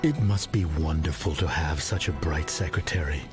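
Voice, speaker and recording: An actor playing a calm, enigmatic older hitman in a video game cutscene, terse and courteous. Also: a bass voice, normal speed. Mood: cool, probing. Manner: terse, probing questions. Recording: music under the voice.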